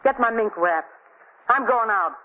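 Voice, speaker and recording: A woman's voice: fairly high pitch, lively intonation, measured pace. An actress playing a hard, selfish gangster's woman in a 1940s radio drama, curt and dismissive. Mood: scornful. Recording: tinny, hissy 1940s radio recording.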